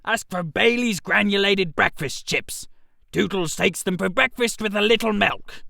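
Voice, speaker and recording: A man's voice: high-pitched, measured pace, creaky, sharp tone, dry recording, clean studio sound, exaggerated voice. A man voicing a genial, oblivious older father with a breezy, chatty manner in a comic radio story. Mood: unbothered.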